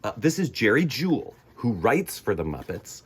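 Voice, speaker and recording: A man reading a children's picture book aloud in the first person, theatrical, sometimes dropping into hushed emphasis. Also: lowish voice, very animated, normal speed, slightly rough. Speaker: children's storytime reader.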